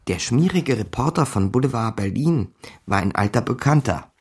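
An actor playing a wry, rattled small-time crook who narrates a radio crime drama in sardonic slang and muttered curses. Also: male voice, medium pitch, lively intonation, normal speed, slightly rough.

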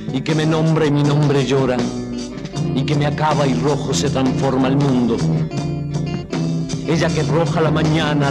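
A man's voice: on the high side, poor audio. Man performing poetry over guitar music. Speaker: singer and poet. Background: prominent guitar music.

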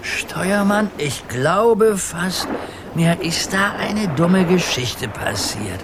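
Grandpa skipper for a children's radio play, swooping fairly high voice, indulgent yet commanding, boat and water sounds behind. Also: male voice, animated, extremely slow, noisy background, full character voice. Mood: commanding, concerned. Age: older. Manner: theatrical nautical commands, indulgent. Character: playful grandfather acting as sea captain, good-natured.

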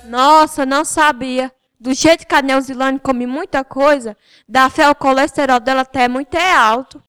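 A woman's voice: sits high, fairly even intonation, normal speed, a bit bright, smooth. A female amateur playing a chatty, gossipy schoolgirl friend in a radio soap, colloquial and exclamatory, with a northeastern Brazilian accent. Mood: surprised.

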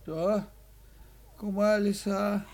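An actor playing a fussy, easily outraged sausage merchant in a children's audio play, sputtering and exclaiming. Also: male voice, high-pitched, fairly even intonation, drawn-out words, vocal fry, full character voice. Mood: formal, startled. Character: indignant, fussy male sausage-shop merchant. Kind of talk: 1970s Soviet audio play acting.

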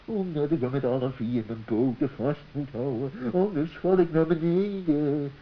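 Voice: medium pitch, natural voice. A performer voicing a defeated, obedient male fox henchman in a children's radio play, drawling meekly. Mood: meek, dejected. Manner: slow, drawling, meek. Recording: muffled old recording with loud noise.

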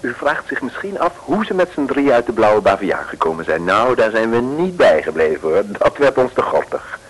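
A man's voice: mid-range voice, sing-song, normal speed, low-quality recording. Wry male radio-play narrator. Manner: wry, chatty.

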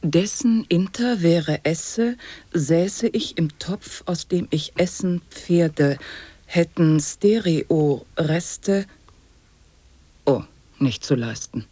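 Husky, low absurdist commentator. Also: female voice, expressive, very slow, warm tone, no echo, heavily acted. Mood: deliberate. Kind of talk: avant-garde radio play, absurd recitation.

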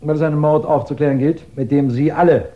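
An actor playing a courteous, formal chief inspector with faintly sarcastic politeness in a 1960s radio drama. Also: male voice, on the high side, fairly even intonation, a little fast, a crowd in the background, natural voice. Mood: suave, formal. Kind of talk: 1960s German radio crime drama acting. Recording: dull 1960s radio recording.

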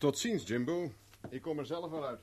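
An actor in a 1970s radio play playing a tough, blunt investigator with a curt, sardonic, informal manner. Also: male voice, fairly high pitch, normal speed, darkish tone, husky. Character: tough, blunt, wry private investigator, adult man.

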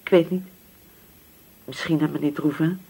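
An actress playing a poor, anxious, grieving widow in a radio drama, speaking hesitantly and submissively. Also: female voice, sits low, fairly even intonation, moderate pace, gravelly, warm tone, breathy edge, exaggerated voice. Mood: subdued. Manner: hesitant, repeating words, submissive.

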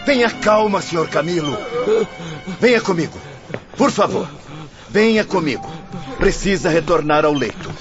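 Earnest, high-voiced nurse. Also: male voice, animated, moderate pace, pauses often. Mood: urgent, soothing. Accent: Brazilian. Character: male nurse, anxious, gentle, deferential. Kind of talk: radio drama acting. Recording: muffled radio drama with music.

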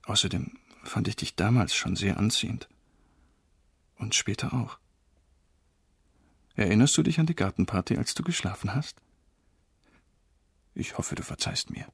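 A knowing, quietly flirtatious man in a radio crime drama. His voice is husky and fairly low, and he talks intently, as if confiding what he has worked out. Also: animated, moderate pace, long pauses, heavily acted. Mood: teasing, tender. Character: tall dark-haired male lover, earnest, knowing, quietly flirtatious.

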